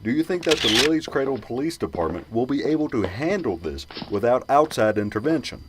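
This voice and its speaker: A man's voice: medium pitch, big pitch swings, a little fast, background noise, lightly acted. An actor playing an insistent male news reporter in an amateur radio drama. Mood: pushy. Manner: insistent, pressing.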